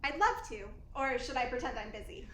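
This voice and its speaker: A woman's voice: high-pitched, expressive, fairly quick, fairly bright tone. A woman playing an ambitious young actress in a radio play, earnest and modest on the surface but calculating. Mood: demure, guarded. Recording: roomy echo, faint background noise.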